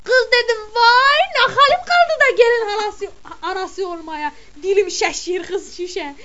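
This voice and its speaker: Comic sketch, woman playing a scolding, chattering village mother, very high and shrill, dull lo-fi sound. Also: measured pace, slight background noise. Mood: indignant. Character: gossipy, grumbling middle-aged village mother of the bride. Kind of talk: comic character monologue.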